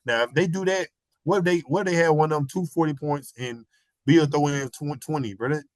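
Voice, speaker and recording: A man talks basketball in loose, animated banter, his voice a little hoarse from a cold. He keeps circling back to the same phrases. The sound is dry and close. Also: fairly high pitch, a little fast, no room sound. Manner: animated, repeats phrases, slangy. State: hoarse, getting over a cold. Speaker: podcast guest. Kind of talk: casual sports chat.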